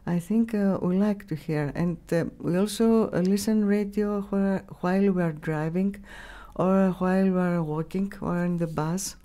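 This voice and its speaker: A middle-aged woman with a Greek accent speaks in a fairly low, soft-edged voice. She gives unscripted interview answers haltingly, often pausing to find the word. The tone is dark and relaxed, close on a studio mic. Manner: halting, searching for words. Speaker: radio station staff member, interviewee. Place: small radio studio. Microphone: broadcast mic on boom arm.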